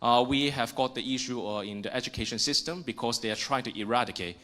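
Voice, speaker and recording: A man's voice: medium pitch, quick pace, a bit bright. A young man from Hong Kong, a Cantonese speaker with a Hong Kong accent, speaking earnestly on a panel, with many "uh" fillers and restarts. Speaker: panelist, exiled activist. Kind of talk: panel discussion remarks.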